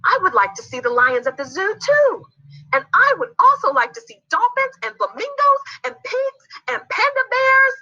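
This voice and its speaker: Woman voicing Z, a high-pitched eager little alien. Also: normal speed, highs cut off, full character voice. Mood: happy, chatty. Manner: earnest, wondering, excitable. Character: curious, eager young alien child, friendly and naive. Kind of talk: children's storybook character voice.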